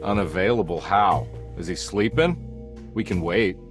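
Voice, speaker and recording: A low-voiced male private investigator, played by an actor, wry and unflappable. He talks with casual politeness in a game cutscene. Music plays behind him. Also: moderate pace, lightly acted. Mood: smooth, insistent. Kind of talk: cinematic game voice acting.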